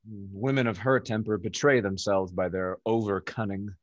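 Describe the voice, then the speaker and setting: A young man playing a witty, cynical gentleman rake in a theatrical, wry Restoration comedy style. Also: fairly low pitch, steady tone, moderate pace, dull audio, lightly acted. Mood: amused, breezy. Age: young adult. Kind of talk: Restoration comedy stage acting over video call. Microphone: video call.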